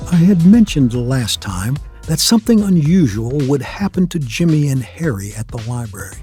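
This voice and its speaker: A man narrating a scripted radio play in a measured storytelling manner. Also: medium pitch, sing-song, mellow, soft background music.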